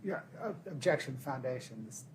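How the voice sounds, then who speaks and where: A man's voice: mid-range voice, lively intonation, normal speed, rough recording. A male lawyer raising objections in a courtroom, captured on a livestream with background noise.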